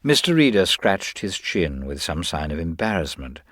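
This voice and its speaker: A man's voice: low voice, big pitch swings, normal speed, a bit bright, slight background noise. A man reading a scripted story as an audiobook narrator.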